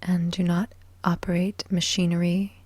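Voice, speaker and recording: A woman leading a guided sleep hypnosis in a soft, breathy, whispery voice, soothing and deliberate with long pauses, in ASMR style. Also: lowish voice, level tone, dry recording.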